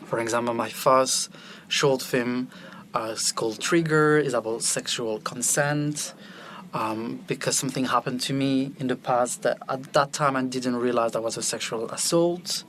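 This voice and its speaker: Male guest, French native from Belgium; earnest, animated, open; medium pitch, faint room noise. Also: normal speed. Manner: earnest, animated, laughs easily. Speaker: podcast guest, film director. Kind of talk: candid personal conversation.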